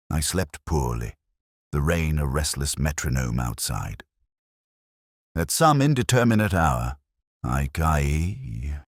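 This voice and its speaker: Male narrator, very deep, expressive pitch, for brooding first-person detective fiction. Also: no room sound, studio quality.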